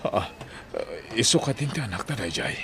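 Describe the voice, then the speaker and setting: A man's voice: mid-range voice, expressive, fairly quick, sharp tone, slight background noise. A man playing a regretful, humble estranged father in a radio drama, with an Ilocano accent. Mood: surprised, hesitant. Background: music bed.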